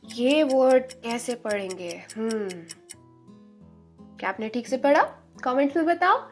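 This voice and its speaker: A woman from India teaching Spanish in an online lesson. She repeats each word slowly and draws out the sounds. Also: a little high, animated, faint music behind. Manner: slow, drawn-out pronunciation drills, repeating words.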